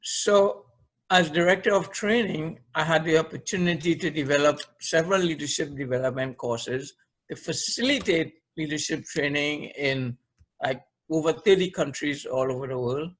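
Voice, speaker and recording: Mature male podcast guest, Trinidadian accent, fairly high voice, conversational leadership trainer. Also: moderate pace, fairly bright tone, rough recording. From Trinidad and Tobago. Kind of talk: conversational interview, recounting career.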